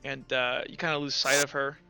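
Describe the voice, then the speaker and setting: A man narrating a tabletop game as game master in a casual, halting, conversational way. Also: mid-range voice, flat delivery, creaky, bright, forceful tone, clean tone. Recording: slightly dull, lo-fi audio. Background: music.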